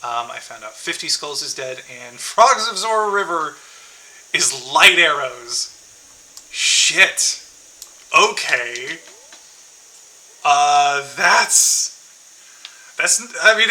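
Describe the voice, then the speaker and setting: A man's voice: fairly high pitch, pushed and bright, slight background noise. A man streaming a game, chatting casually to viewers and thinking aloud with many fillers and restarts.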